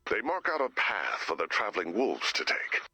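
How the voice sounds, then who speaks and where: An actor playing a grave, anxious director cursed to become a werewolf, in an earnest, dramatic video game style with a gravelly voice. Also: male voice, on the low side, huge pitch swings, normal speed, bright, forceful tone, exaggerated voice. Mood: worried, grave. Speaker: director of a base. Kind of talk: animated video game voice acting.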